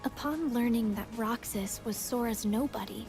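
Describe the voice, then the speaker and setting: A woman reading scripted narration for a video game story recap in a measured, solemn storytelling manner. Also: fairly high pitch, vocal fry, over music.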